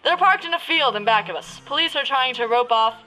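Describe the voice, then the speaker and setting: Woman playing high-voiced excitable radio news reporter. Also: a little fast, poor audio. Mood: earnest, playful. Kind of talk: parody of old radio drama reporting. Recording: music under the voice.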